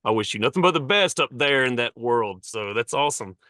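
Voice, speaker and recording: A man with a Southern accent hosting a country music podcast, friendly and quick with short affirmations. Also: mid-range voice, lively intonation, bright, forceful tone, no echo, studio quality. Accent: Southern American. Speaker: podcast host. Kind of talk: casual podcast interview.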